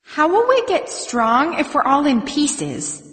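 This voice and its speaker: A smug young female volcano spirit, high and lively, with a shrewd, challenging edge. Her storybook lines ring in a long reverb. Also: takes it slowly, exaggerated voice. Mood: questioning.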